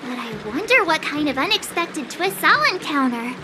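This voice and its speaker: A woman's voice: sits high, very animated, moderate pace, over loud music. A woman voicing a bubbly, eager teenage girl sword apprentice in a video game, with a perky, earnest, theatrical delivery. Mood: chatty, curious.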